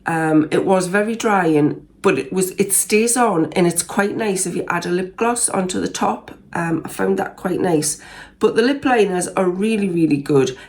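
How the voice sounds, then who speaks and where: A woman in her sixties with a Geordie accent talks through her favourite makeup in a relaxed, rambling way, full of ums and little restarts. Her voice sits a touch low and has a gravelly fry to it, made croakier by a cold. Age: 60s. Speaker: beauty vlogger. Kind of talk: casual makeup review vlog.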